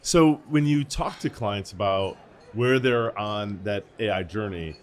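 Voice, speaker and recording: A man's voice: lowish voice, measured pace. A man hosting an interview in a casual, conversational style. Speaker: interviewer, host.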